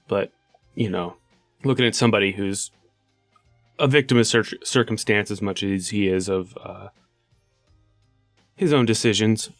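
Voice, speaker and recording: A man playing a tabletop role-playing game, describing his character's thoughts and actions in halting, thoughtful phrases. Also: fairly low pitch, fairly bright tone, no echo. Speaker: player in a tabletop RPG podcast. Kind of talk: casual game narration and table chat.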